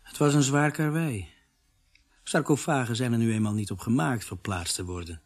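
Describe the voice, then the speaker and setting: A sober narrator in a radio drama, played by a male actor, recounting events he took part in. His voice is husky and mid-pitched, with a measured, factual delivery. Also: lightly acted. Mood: matter-of-fact. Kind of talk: scripted radio play narration.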